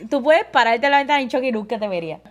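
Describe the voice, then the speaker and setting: A woman's voice: a little high, expressive, racing, a loud crowd. A woman, co-hosting a casual podcast chat and mixing Spanish and English. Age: 30s. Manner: switches between Spanish and English mid-sentence. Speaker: podcast co-host.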